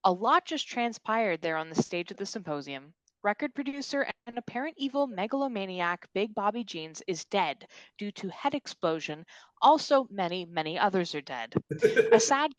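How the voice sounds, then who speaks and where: A woman's voice: mid-range voice, no room sound, natural voice, low-quality recording. A woman voicing a frank, absurdly solemn female TV reporter giving a mock news report in a table read.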